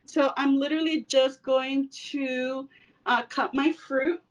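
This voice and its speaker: A woman's voice: sits high, steady tone, slow pace, recorded from a distance, muffled recording. A woman from El Salvador giving a casual cooking demonstration in her home kitchen, chatty with frequent fillers. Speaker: cooking instructor.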